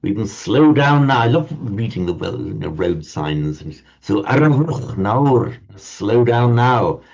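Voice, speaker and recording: A man teaching a Sanskrit class in a relaxed lecture manner, often stammering and restarting words. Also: fairly low pitch, slow, gravelly, slightly rough. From parents from Ireland. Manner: hesitant, repeats and restarts words. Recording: dull lo-fi sound.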